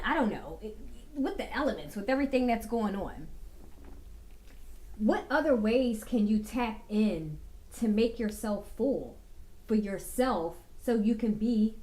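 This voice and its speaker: A woman vlogger talking to her viewers about getting a full life, slow and reflective with many fillers, a little raspy from a cold. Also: medium pitch, darkish tone. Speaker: vlogger giving life advice. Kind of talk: casual talking-to-camera advice.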